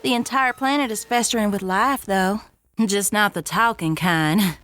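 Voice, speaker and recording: A woman's voice: medium pitch, moderate pace, a bit bright, lightly acted. A woman playing a brash, playful space adventurer in an audio drama, with a teasing, breezy manner. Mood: matter-of-fact.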